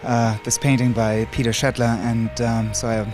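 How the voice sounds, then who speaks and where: Brief: man from Germany, gallery owner, friendly and rambling, music clearly under him. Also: medium pitch, level tone, normal speed. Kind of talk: casual interview.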